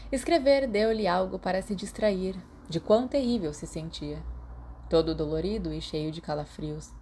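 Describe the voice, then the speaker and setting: A woman with a Brazilian Portuguese accent narrating a fan fiction audiobook in a steady reading. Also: lowish voice, slight background noise. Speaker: podfic narrator.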